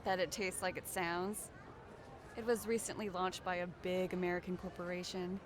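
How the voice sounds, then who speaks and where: A woman's voice: on the low side, level tone, moderate pace, background noise, close to an everyday voice. An actress playing a wry, playful, chatty young woman in a video game. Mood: informative, wry. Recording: music behind the voice.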